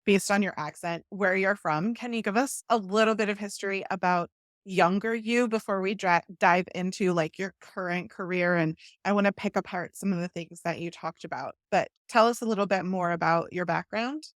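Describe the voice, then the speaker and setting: A woman hosting a podcast, asking questions in an energetic, enthusiastic and affirming way. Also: medium pitch, moderate pace, no echo, clean studio sound. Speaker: podcast host. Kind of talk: casual interview talk.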